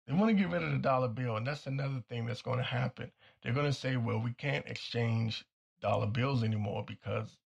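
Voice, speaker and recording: A man hosting a talk show with a hoarse, nearly gone voice, delivering a blunt and insistent commentary monologue that repeats its points. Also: mid-range voice, highs cut off. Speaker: podcast host.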